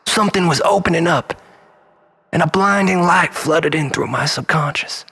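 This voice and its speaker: A man's voice: sits high, a bit bright. A man playing an unhinged, self-loathing storyteller in a dramatic monologue, rambling in mock-philosophical, preacher-like repetitions. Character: unhinged, self-loathing male storyteller pleading with his lover.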